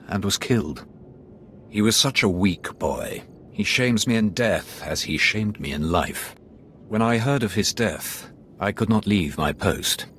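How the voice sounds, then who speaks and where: A man's voice: lowish voice, a bit bright, a little hiss or hum. An actor playing a proud, cold Sith lord who scorns his dead son, speaking in a commanding, contemptuous game voice. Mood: stern. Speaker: Sith lord guarding the council chamber. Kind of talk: video game voice acting.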